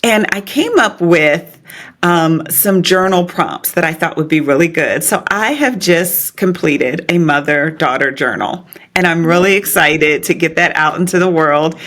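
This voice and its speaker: A middle-aged woman, a podcast guest, chatting excitedly and warmly with fillers and small laughs. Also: low voice, a little slow. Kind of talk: casual interview chat.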